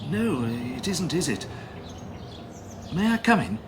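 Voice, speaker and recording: An actor playing a polite, mysterious gentleman visitor, soft-spoken and courteous. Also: on the high side, big pitch swings, unhurried, a little hiss or hum. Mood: calm.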